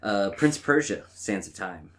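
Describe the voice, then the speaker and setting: A man's voice: fairly low pitch, sing-song, unhurried, fairly bright tone. A man co-hosting a podcast in casual chat, talking in a blunt, informal, opinionated way. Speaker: podcast co-host.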